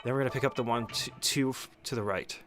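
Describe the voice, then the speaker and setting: A man's voice: medium pitch, fairly even intonation, fast. A man giving a casual, step-by-step speedrun tutorial as commentary, speaking informally and giving short instructions.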